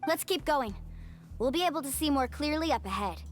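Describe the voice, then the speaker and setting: A woman's voice: high-pitched, animated, brisk. A woman voicing a confident, friendly young guide in a video game, speaking in an assured, encouraging way. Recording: game music behind the voice.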